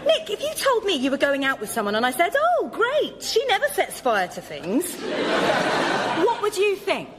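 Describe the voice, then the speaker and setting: A hesitant, animated friend in a hall. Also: female voice, extremely high pitch, moderate pace, very noisy, exaggerated voice. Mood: baffled, uneasy. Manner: hesitant, questioning. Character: young woman, shyly smitten, increasingly baffled and unsettled. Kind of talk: radio sketch comedy. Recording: reverberant hall with audience.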